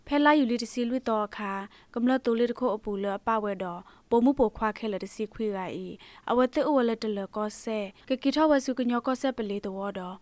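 A woman's voice: a little high, darkish tone, no echo. A woman presenting scripted news as a radio newsreader.

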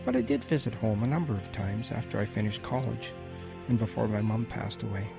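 A man playing a wistful old grandfather in an audio drama, telling his wartime boyhood in a gentle, reflective way with a husky voice. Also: on the low side, expressive, a little fast, music in the background.

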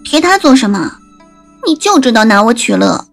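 A very high-voiced young woman from a period audio drama. She is a proud, coy maidservant who speaks with open feeling, over soft music. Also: very animated, normal speed, vocal fry, full character voice. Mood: wry, devoted. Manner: coy, mock-scolding, emotive. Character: young maidservant-companion woman, proud, teasing, resigned in love. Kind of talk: Chinese costume audio drama acting.

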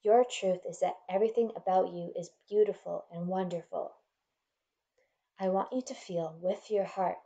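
A woman leading a guided meditation in a gentle, soothing, measured manner, leaving pauses between instructions. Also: on the low side, creaky, dull audio.

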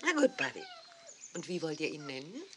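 A woman's voice: low voice, huge pitch swings, fairly quick, heavy background noise. An actress playing a kindly, instructive woman dog breeder in a 1980s children's audio play, explaining things expressively.

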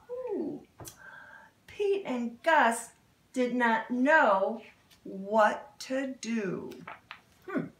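A middle-aged woman reading a children's storybook aloud in a dramatic, expressive way, with exclamations and chatty asides. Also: on the high side. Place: indoor room in a house. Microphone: camera a few feet away. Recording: roomy, echoey home recording.

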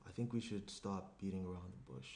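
A young man playing an awkward, earnest professional fiancé at a table read, with a halting delivery. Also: deep voice, fairly even intonation, dark tone. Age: young adult. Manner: halting, hesitant, circling the point. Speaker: actor reading a lead part. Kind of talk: screenplay table read, naturalistic. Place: small indoor room around a table. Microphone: shared USB tabletop microphone. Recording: roomy echo, clear noise.

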